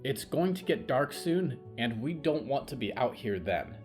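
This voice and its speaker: Man acting out grumbling young boy scout, pitched up. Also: big pitch swings, normal speed, darkish tone, soft background music. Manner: sighing, grunting.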